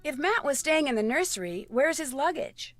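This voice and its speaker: A woman's voice: sits high, lively intonation, normal speed, a bit bright, no room sound, faint music behind. An actress playing a polite, inquisitive young female detective in a video game, speaking directly. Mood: curious, blunt.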